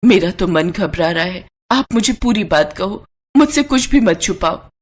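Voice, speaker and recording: A woman's voice: fairly low pitch, lively intonation, unhurried, breathy edge. Earnest, devoted wife voiced by a woman. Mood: anxious, pleading. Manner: pleading, earnest. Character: devoted, concerned merchant's wife and mother. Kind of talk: devotional story dramatization.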